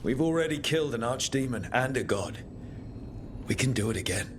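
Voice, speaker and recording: An actor playing a steady, supportive young mage who leads a band of heroes in a video game, calm and reassuring. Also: male voice, medium pitch, fairly quick, slight background noise, close to an everyday voice. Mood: encouraging. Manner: calm, reassuring, plain-spoken. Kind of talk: video game character acting.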